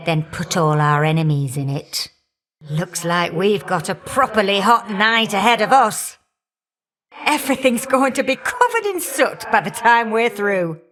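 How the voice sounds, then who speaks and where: Fiery older goddess for a game, cheeky and folksy, teases you fondly. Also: female voice, medium pitch, fairly bright tone. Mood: fiery, gleeful. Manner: folksy, teasing, affectionate endearments and colloquial turns of phrase. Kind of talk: video game character voice acting.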